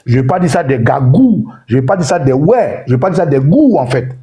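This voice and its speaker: A man's voice: fairly high pitch, animated, fast talker, over a noisy crowd. A man speaking in a heated online debate, emphatic and lecturing, repeating himself for force. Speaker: participant in an online debate room. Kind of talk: heated political talk.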